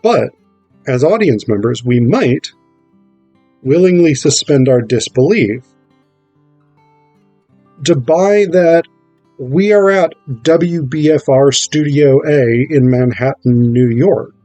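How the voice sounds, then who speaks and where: Man, mid-pitched voice, slight cold, dry close sound, halting informal lecture, guitar music behind. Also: mellow, no room sound. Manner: halting, thinking aloud with repeated rhetorical check-ins. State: getting over a cold, clearing throat. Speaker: theatre performer explaining a play.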